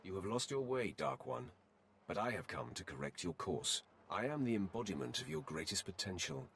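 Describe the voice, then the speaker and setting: A grave Sith warrior from a video game, with a very low voice full of gravel. He speaks formally and solemnly, in measured phrases. There is clear noise behind the voice. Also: big pitch swings, fairly bright tone, full character voice. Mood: solemn, earnest. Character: deep-voiced Sith warrior confronting his solemn mirror-image light self. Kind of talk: video game dialogue acting.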